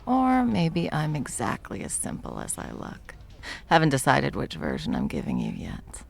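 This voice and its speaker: Woman acting a very low-voiced, flirty female bartender. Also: huge pitch swings, creaky, exaggerated voice. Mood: playful, teasing. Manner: teasing, unhurried, soft chuckles, dropping toward whispers. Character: confident, flirtatious, protective hot female bartender. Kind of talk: ASMR roleplay, intimate one-sided conversation.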